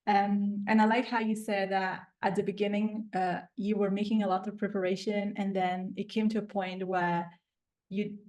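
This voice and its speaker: A woman coach with an Italian accent talks in a conversational way to a student. Her pitch sits in the middle of the female range, and she is affirming and encouraging as she hosts. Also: level tone, moderate pace, a little mellow. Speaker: language coach hosting a student interview.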